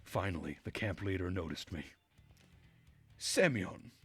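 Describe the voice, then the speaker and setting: A man with a low-pitched, rough-edged voice reads a visual novel aloud. He narrates like a storyteller on a game stream, with faint music behind him. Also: steady tone, moderate pace.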